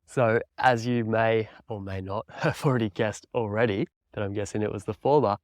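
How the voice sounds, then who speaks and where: A man's voice: fairly low pitch, fairly even intonation, normal speed, no echo, studio quality. A man in his thirties with an Australian accent, hosting a casual solo podcast in an upbeat, enthusiastic, laid-back way. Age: 30s. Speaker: podcast host and mountain bike coach.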